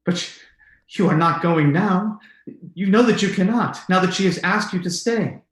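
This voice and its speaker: An actor playing an earnest, haunted bereaved husband, with halting, intense, formal delivery in old-time radio drama style. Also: male voice, high voice, lightly acted. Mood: urgent. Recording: roomy, echoing sound.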